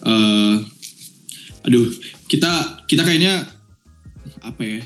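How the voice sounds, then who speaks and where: A man's voice: mid-range voice, expressive, slow, a bit bright, soft background music, low-quality recording. A young man from Sumatra with a Batak-tinged Indonesian accent, hosting a chatty, joking podcast conversation in slang. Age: young adult. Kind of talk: casual chat.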